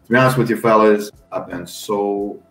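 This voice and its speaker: A middle-aged American man, a football coach and analyst, telling stories in an animated, folksy way and laughing along. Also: fairly low pitch, normal speed, reverberant, rough recording. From United States. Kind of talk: casual storytelling on a podcast.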